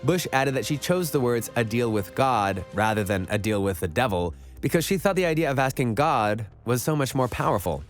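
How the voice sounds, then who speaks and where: Man narrating a countdown over music. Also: medium pitch. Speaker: narrator of an online list video.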